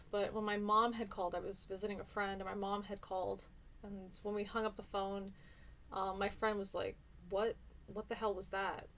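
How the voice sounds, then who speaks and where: A woman in her thirties chatting casually on a livestream, reading out viewer comments in a rambling way. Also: mid-range voice. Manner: chatty, rambling, many fillers. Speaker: livestream host and teacher.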